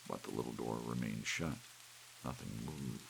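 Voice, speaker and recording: Very deep, gravelly male story narrator. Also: normal speed. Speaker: audiobook narrator. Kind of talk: scripted story narration, ASMR bedtime reading. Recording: steady soft rain and hiss behind. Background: soft rain.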